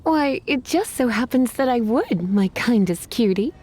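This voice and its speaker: A woman's voice: on the high side, lively intonation, a little slow, darkish tone, breathy edge. A woman playing a flirtatious, languid and refined witch librarian in a video game, with a coy, teasing manner. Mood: genteel, composed. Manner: coy, sighing, teasing, genteel. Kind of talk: video game character acting. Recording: game music behind voice.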